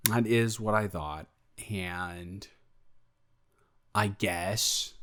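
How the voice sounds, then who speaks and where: Man playing a slow, bashful young male roommate. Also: fairly low pitch. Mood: nervous, earnest. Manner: hesitant, rambling, halting delivery. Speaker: audio roleplay performer. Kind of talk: improvised romantic roleplay, intimate casual chat.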